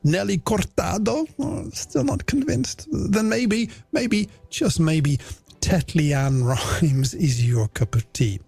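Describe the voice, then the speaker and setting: A man's voice: sits high, animated, slow pace, vocal fry, darkish tone, husky. A middle-aged man with an Irish accent presenting a chatty late-night radio show in a playful, self-deprecating way, talking to listeners as friends. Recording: radio broadcast with music bed underneath. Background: music.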